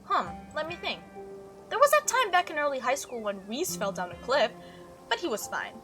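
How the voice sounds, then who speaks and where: A woman voicing a chatty, excitable young female cryptid hunter from a visual novel, telling stories in an animated, gushing way. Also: high voice, normal speed, faint music behind, full character voice. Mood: breezy reminiscing. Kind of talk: visual novel character read-aloud.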